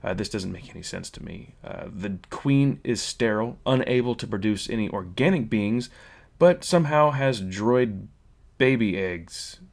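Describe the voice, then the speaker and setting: A man's voice: on the low side, expressive, moderate pace, creaky. A man hosting a fan review channel, explaining a story from his notes with casual asides. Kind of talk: spoiler story recap from notes.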